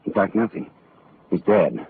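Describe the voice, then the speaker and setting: A man's voice: low voice, animated, a little slow. A man playing a wisecracking hard-boiled private detective, dry and clipped, in 1940s radio acting. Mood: wry. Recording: tinny old radio broadcast, faint hiss.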